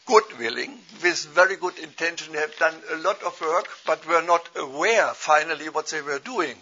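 A man with a German accent from Germany giving a formal lecture in a measured way. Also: a little high, bright, forceful tone, breathy edge. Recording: dull lo-fi with faint noise.

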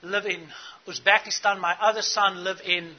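A man's voice: high-pitched, fairly even intonation, normal speed, highs cut off. A middle-aged man from Africa, giving an earnest teaching talk to church leaders and putting questions to the audience. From South Africa. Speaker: conference speaker.

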